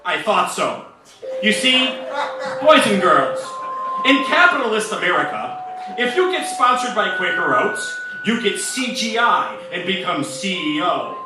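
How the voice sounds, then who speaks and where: An exasperated space hero, played by a man hams it up in a children's radio serial. The voice is high and grumbling, heavily echoed and set back from the mic. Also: very animated, unhurried, heavy background noise. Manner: grumbling, melodramatic. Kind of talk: old-time radio space serial parody acting. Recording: echoey, distant, with music behind.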